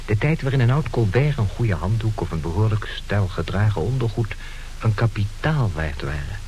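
An actor playing a wry, mock-solemn narrator who formally addresses the listeners in a theatrical radio play. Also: male voice, on the low side, animated, moderate pace, a little mellow, lightly acted, poor audio. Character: wry male narrator warning of a rogues' comedy. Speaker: announcer introducing a radio play. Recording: hissy old radio drama recording.

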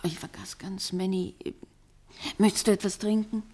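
An actress playing a lonely, needling ex-partner in a German radio play, intimate and volatile, with a creaky, breathy voice. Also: female voice, mid-range voice, level tone, normal speed, a little mellow, lightly acted. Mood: fussing, reproachful. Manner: intimate, fussing, emotionally volatile.

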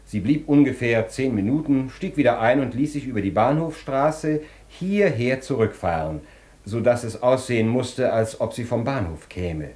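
An actor in a German radio play, playing an obsequious, insinuating hotel porter who is deferential and gossipy. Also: male voice, mid-range voice, animated, moderate pace, darkish tone, reverberant, natural voice. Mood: confiding, insinuating.

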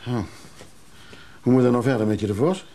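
A man acting in a radio drama as a hesitant, anxious antiques buyer who speaks haltingly. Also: medium pitch, warm tone, roomy sound, a little hiss or hum, close to an everyday voice. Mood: flustered.

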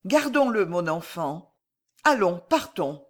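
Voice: mid-range voice, sing-song, slow pace. A woman playing a tender, grieving noble mother. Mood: tender, grave. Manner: solemn, tender, formal theatrical speech.

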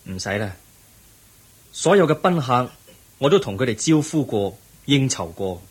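An actor with a Hong Kong Cantonese accent playing a pensive, earnest young crown prince in a radio drama, speaking formally and reflectively. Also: male voice, medium pitch, sing-song, unhurried, slightly rough, heavily acted. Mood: calm, resolute.

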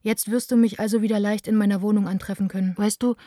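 A woman's voice: mid-range voice, fairly even intonation, fast talker, mellow, no echo, studio quality, close to an everyday voice. An actress playing a young woman theatre director, wounded and self-blaming, reading out her intimate letters in a radio drama. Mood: dejected, confiding.

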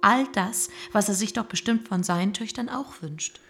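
An actress playing a shy, dutiful young gentlewoman in a radio drama, modest and a little hesitant. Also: medium pitch, normal speed, vocal fry, faint music behind. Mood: shyly defensive. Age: young adult.

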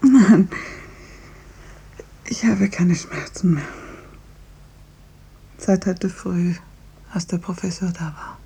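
An actress in a 1950s radio drama playing a suffering, bravely resigned dying patient, with a breathy, often half-whispered voice. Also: female voice, fairly low pitch, slow pace, pauses often, dark tone, exaggerated voice. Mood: weak, tender, resigned.

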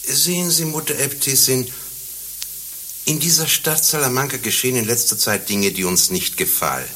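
A man's voice: mid-range voice, normal speed, sharp tone, a little hiss or hum, natural voice. A male actor playing a stern, pompous Inquisition inspector in a satirical radio play, with formal, indignant and ornate speech. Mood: stern, officious.

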